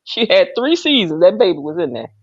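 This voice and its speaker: A woman's voice: on the low side, sing-song, brisk. A playful woman co-hosting a gossip show, teasing in with sing-song emphasis. The sound is dull and lo-fi. It is dry, with no room echo. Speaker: co-host. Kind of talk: casual gossip chat.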